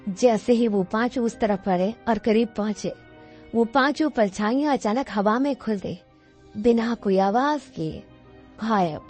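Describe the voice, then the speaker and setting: A woman's voice: fairly high pitch, unhurried, darkish tone, breathy edge. A woman giving a scripted narration of a horror cartoon story. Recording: music bed behind the voice.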